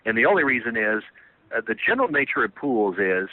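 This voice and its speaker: A man's voice: mid-range voice, sing-song, fairly quick, dry recording. A man presenting his company's plan on a conference call, earnest and repetitive, with many restarts and fillers. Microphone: telephone. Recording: tinny phone line.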